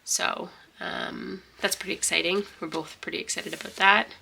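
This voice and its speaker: A woman's voice: fairly low pitch, steady tone, moderate pace, gravelly, sharp tone, slight background noise. A young woman with a stuffy, congested voice, chatting casually and rambling through a journal flip-through. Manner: rambling, chatty, many fillers and restarts. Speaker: journaling vlogger.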